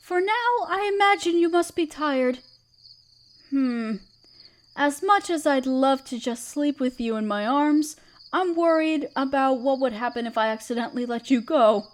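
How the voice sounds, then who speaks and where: A woman playing a gentle, protective half-spider queen in a roleplay audio drama, speaking tenderly and soothingly to the listener. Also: high-pitched, lively intonation, unhurried. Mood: gentle, doting. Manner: tender, soothing, hesitant and coaxing. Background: chirping crickets.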